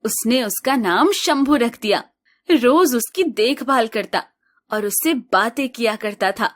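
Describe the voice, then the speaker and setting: Female storybook narrator, slow, even delivery, high-ish voice.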